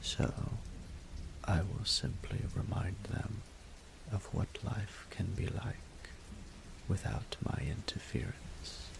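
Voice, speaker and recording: An ancient-sounding, imperious dragon lord for a romantic audio roleplay. His voice is very deep and heavily gravelled, sinking toward a breathy whisper. He speaks formally and very slowly, with haughty, seductive menace. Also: exaggerated voice. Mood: cold, imperious.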